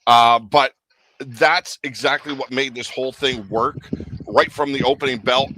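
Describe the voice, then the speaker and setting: A man's voice: medium pitch, pushed and bright, rough recording. A man hosting a wrestling podcast, recapping matches with wry jokes and colorful comparisons. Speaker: podcast host.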